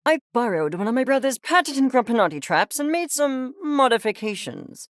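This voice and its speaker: Snooty obsessed little scientist critter from a video game, swoopy pitch, super wordy and impatient, bone dry sound. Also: sing-song, normal speed, no room sound. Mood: impatient, focused. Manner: pompous, clinical, wordy, precise diction. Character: arrogant, obsessed mad-scientist furry creature, pompous and impatient. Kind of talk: theatrical game character acting.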